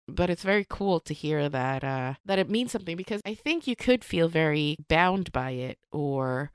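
A woman's voice: deep voice, lively intonation, normal speed, clean tone, no room sound, clean studio sound. A woman comedian from Sweden whose first language is Swedish, talking thoughtfully in a casual podcast interview, with fillers and restarts. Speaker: comedian guest.

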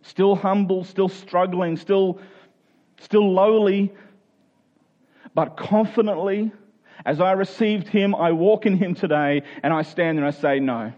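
High-pitched male preacher, dull recording. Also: a little slow, darkish tone. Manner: emphatic repetition of short phrases; earnest, exhorting. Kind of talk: sermon with scripture reading and prayer.

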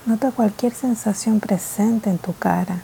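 A woman guiding a mindfulness meditation in a soft, slow, gentle way, with pauses between short phrases. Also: mid-range voice, gravelly, slight background noise, low-quality recording. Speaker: meditation guide. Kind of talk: guided mindfulness meditation, scripted and instructional.

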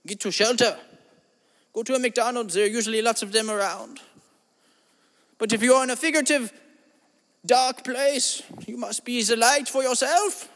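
A man putting on a grandiose self-styled guru with a thick German accent, preaching in a very high, lofty voice. He stretches his words out solemnly, then snaps into blunt asides. The voice rings with a long, hall-like reverb behind it. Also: normal speed, long pauses, a bit bright. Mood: grandiose rambling. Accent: put-on German accent. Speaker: comedy podcast host. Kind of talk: improvised comedy monologue in character.

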